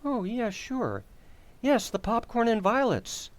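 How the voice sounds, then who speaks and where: A man acting a bumbling, forgetful, excitable husband and father in an old-time radio comedy, with a flustered, stammering delivery. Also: very high voice, slow, full character voice. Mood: excited, flustered. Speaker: amateur actor.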